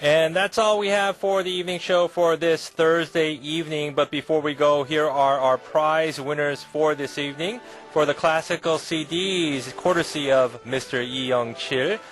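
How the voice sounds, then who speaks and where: A man hosting an evening radio show, polite with a smooth announcer delivery. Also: on the high side, fairly even intonation, moderate pace, hardly any pauses, fairly bright tone, soft background music. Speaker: radio host.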